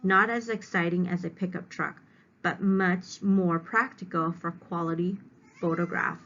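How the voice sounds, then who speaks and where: A woman's voice: on the low side, fairly even intonation, measured pace, a bit bright. A woman reading aloud as a teacher, stopping to explain words simply to young students. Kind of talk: read-aloud lesson with simple word explanations. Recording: dull, lo-fi home recording.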